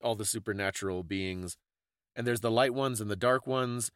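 A man's voice: fairly low pitch, moderate pace, a bit bright, clean tone, no echo, studio quality. A man co-hosting a podcast, casually discussing folklore and stories in a thoughtful, explanatory way. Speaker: podcast co-host.

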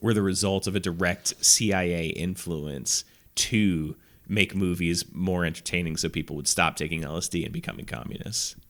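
A man with a cold and a very deep voice, chatting and joking as a podcast co-host. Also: normal speed, fairly bright tone. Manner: rambling, joking, laughs a lot. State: sick, sore throat. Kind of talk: casual chat.

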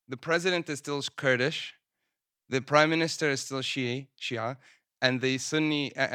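A man presenting his research at a panel in a conference room, speaking in a measured way with frequent ums. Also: mid-range voice, bright, forceful tone, no echo, studio quality. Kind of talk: academic talk at a panel. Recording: poor sound quality.

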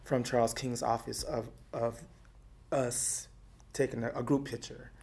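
A middle-aged woman from Texas with a deep, raspy voice, talking and laughing her way through memories in an oral history interview. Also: a little slow. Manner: lively, talkative, laughs often. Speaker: activist interviewee.

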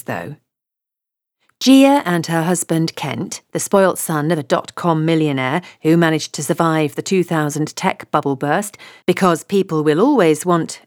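A woman's voice: fairly low pitch, dry recording, studio quality. Wry woman reading a comic novel. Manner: wry, chatty, conversational. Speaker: audiobook narrator.

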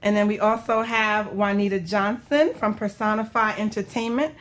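A woman's voice: medium pitch, fairly even intonation, normal speed. A woman hosting a casual group talk show in an informal, joking way.

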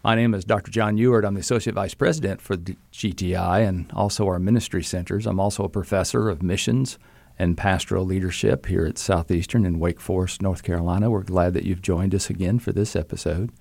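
Tiefe, knarzende Männerstimme, trocken aufgenommen. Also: male voice, deep voice, steady tone, brisk, gravelly, a little mellow, no echo. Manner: friendly, easygoing, restarts phrases. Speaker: podcast host and seminary professor. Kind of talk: conversational podcast interview.

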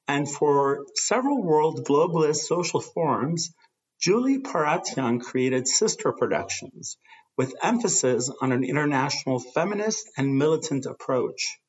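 Man reading radio intros, muffled. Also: on the high side. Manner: measured, reads from a script with some fillers. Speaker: radio host. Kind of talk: read-out voice-over introductions.

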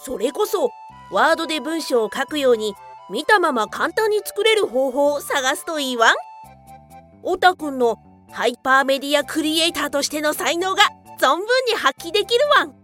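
A woman playing an eager, flattering talking dog in a comedic radio drama, with a cutesy yelping way of talking. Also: high voice, normal speed, faint music behind. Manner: cutesy, ends sentences with a dog's yelp.

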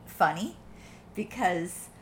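This is a middle-aged woman with a mostly neutral American accent and a faint Midwestern trace. She chats warmly and easily, with a lively, swinging melody. A faint hum of the room sits behind her. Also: lowish voice, slow pace. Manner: warm, chatty, laughs easily. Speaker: mother of the co-host. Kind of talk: casual chat. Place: apartment.

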